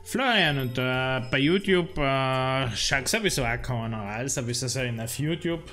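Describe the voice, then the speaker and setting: Man, South Tyrolean accent, bright forceful voice, rambling gamer chat, faint game music behind. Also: medium pitch, moderate pace. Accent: South Tyrolean German. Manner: rambling, complaining, many fillers. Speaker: streamer. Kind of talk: casual gameplay livestream chat.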